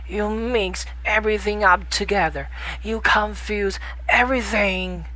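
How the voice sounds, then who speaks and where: A reader acts out a little boy prince from a storybook, pitched high and speaking slowly. He is earnest and indignant, pressing each point with insistent reproach. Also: pushed and bright. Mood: reproachful. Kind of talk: storybook audiobook character voice.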